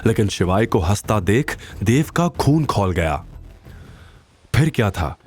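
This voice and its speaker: A man's voice: fairly low pitch, sing-song, measured pace, a little mellow. A man narrating a scripted audio story in a dramatic style. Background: background music.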